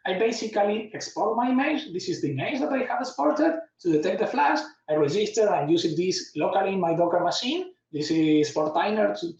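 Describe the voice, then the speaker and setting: Man, excited tech demo talk, far from mic, very echoey, dull audio. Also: high-pitched. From Argentina. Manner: enthusiastic, loose non-native phrasing. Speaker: conference speaker. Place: home room, streamed talk.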